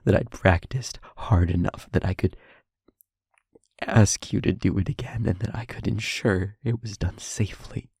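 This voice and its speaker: A man playing a guilt-ridden demon lover in an intimate audio roleplay, speaking in a halting, breathy, confessional hush. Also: low voice, steady tone, no room sound, studio quality, heavily acted. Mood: remorseful, haunted. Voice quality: breathy, husky, whispery.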